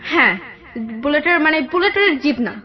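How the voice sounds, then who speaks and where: A young woman with a Bangladeshi accent telling her personal story in a radio interview, halting and repeating herself. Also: sits high, expressive. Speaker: guest telling own life story. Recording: dull lo-fi radio audio, background music.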